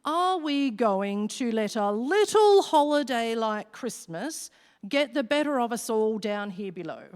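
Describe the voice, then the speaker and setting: A woman's voice: fairly high pitch, sing-song, measured pace, clear, resonant tone. A woman playing a wicked female devil who chairs a meeting, formal and declamatory, in a rhymed radio play. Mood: stern, rousing. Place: large hall, live stage performance. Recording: reverberant hall recording.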